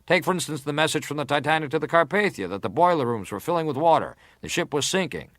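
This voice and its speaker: An actor playing a formal, persistent senator who questions a witness deliberately in a dramatised hearing. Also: male voice, on the high side, animated, fairly bright tone, dry recording, clean studio sound. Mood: insistent, stern. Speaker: interrogator at a hearing. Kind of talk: dramatised reading of hearing testimony.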